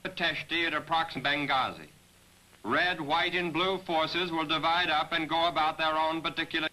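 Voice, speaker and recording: A man narrating a scripted military training film as a briefing, in a measured, directive manner, on a 1940s film soundtrack. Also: a little high, steady tone, pushed and bright, rough recording. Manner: measured, directive, addressing aircrews. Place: voice-over recording for a 1943 film.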